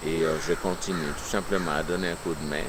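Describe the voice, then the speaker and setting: A man giving an unscripted personal statement on his political views, with halting, deliberate phrasing. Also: sits low, steady tone. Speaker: local resident giving a personal testimonial. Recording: clear outdoor nature noise, insects.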